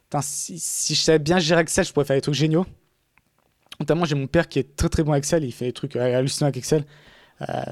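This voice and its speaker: A man's voice: fairly high pitch, darkish tone, no echo, studio quality. A man talking casually in a podcast conversation, rambling with many fillers and restarts.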